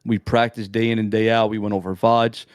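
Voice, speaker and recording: Young male gamer guest, low flat monotone, slangy and rambling, dry close mic. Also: fairly quick, no room sound. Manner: laid-back, slangy, rambling with restarts. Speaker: amateur esports player, guest. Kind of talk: casual interview chat.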